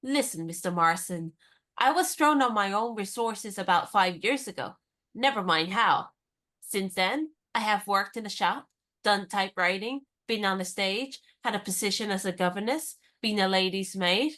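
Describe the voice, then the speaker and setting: A spirited young American heroine of a radio comedy, acted by a woman. She has a mid-pitched voice and a brisk, forthright manner. She sounds independent and encouraging, as if nothing daunts her. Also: expressive, bright, forceful tone. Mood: matter-of-fact, assured. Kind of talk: radio play comedy dialogue.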